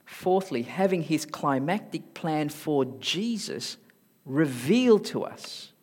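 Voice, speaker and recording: A man from Malaysia with a mixed Malaysian, Singaporean and Australian accent, preaching a sermon emphatically and rising to loud high emphasis. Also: lively intonation, slow, husky. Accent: mixed Malaysian, Singaporean and Australian English. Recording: hall reverb.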